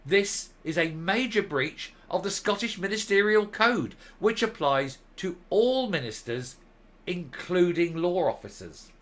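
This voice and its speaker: A male commentary host reading a document aloud and commenting on it, stressing key words with deliberate, emphatic phrasing. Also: sits high, lively intonation, fairly bright tone.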